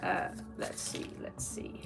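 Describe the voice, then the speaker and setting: A woman doing a live tarot reading, chatting in a rambling way with personal asides between the cards. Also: takes it slowly. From Norway. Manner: rambling, personal asides, reads out viewer questions. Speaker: tarot reader. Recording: faint music behind the voice.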